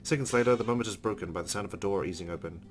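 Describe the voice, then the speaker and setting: A man hosting a let's play, reading a visual novel's narration aloud in an expressive storytelling way. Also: lowish voice, racing. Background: background music with guitar.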